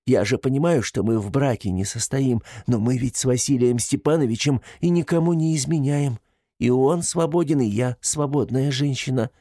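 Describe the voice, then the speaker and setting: Man voicing an ashamed older woman, raised pitch. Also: dark tone, dry recording, studio quality, lightly acted. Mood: pleading, confessional. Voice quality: breaking, tearful voice. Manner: hesitant, halting, pleading. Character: ashamed, flustered older woman confessing under interrogation.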